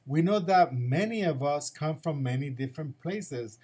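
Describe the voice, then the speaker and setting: A man's voice: on the high side, lively intonation, normal speed, a little mellow, slightly rough. A man, a school principal, giving morning announcements in an upbeat, encouraging way and repeating his advice to students.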